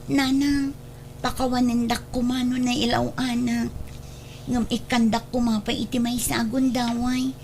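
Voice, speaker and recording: An actress plays a meek young daughter in a radio drama, remorseful and pleading. Her voice is high, with faint noise behind it. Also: little pitch movement, measured pace, vocal fry, a little mellow. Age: young adult.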